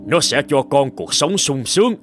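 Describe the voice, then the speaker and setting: Man playing a stern, domineering father, swinging pitch. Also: sing-song, normal speed, lightly acted. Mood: angry, commanding. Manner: harsh, commanding. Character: stern, domineering wealthy father, bald and bearded. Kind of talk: dubbed cartoon drama. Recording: music behind the voice.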